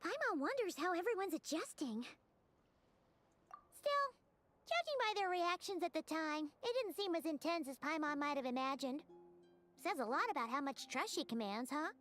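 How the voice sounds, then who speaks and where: Tiny chirpy fairy girl, very high, bouncy, game audio with faint music. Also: expressive, moderate pace, a bit bright. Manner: chirpy, refers to herself in third person. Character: small floating female fairy companion, chirpy and cheeky. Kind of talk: video game dialogue.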